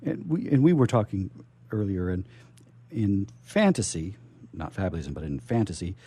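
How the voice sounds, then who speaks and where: A man hosting a radio interview in a chatty, playful, theatrical way, halting and repeating his words as he goes. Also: low voice, big pitch swings, warm tone, breathy edge. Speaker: radio show host. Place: radio station studio.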